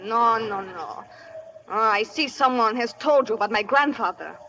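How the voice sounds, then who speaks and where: A melodramatic heiress in an old radio comedy-mystery. Her voice is high and theatrical, formally phrased and full of fearful urgency, over clearly audible recording noise. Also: normal speed, pushed and bright. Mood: indignant, mysterious. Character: melodramatic, desperate heiress, fearful and fiercely possessive. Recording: noisy old radio broadcast.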